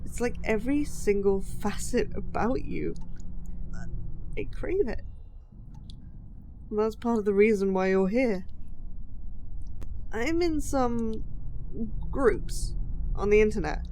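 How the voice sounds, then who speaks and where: A woman acting an apologetic, lovesick kidnapper in an audio roleplay, speaking to the listener in a halting, flustered way. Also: medium pitch, expressive, warm tone, slight background noise, poor audio. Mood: lovestruck, flustered, then obsessive, hesitant.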